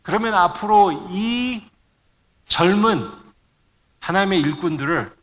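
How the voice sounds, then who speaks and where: Bright-voiced middle-aged man preaching in a reverberant hall. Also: high voice, lively intonation, slow pace. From Korean immigrant family in the United States. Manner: searches for words, mixes in English terms. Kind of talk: sermon to a congregation.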